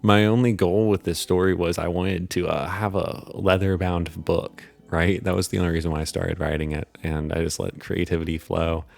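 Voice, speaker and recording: A man hosting a channel, talking casually to viewers in a halting, informal, rambling way. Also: deep voice, little pitch movement, gravelly, soft background music. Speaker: channel host and writer. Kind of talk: casual outro chat to viewers.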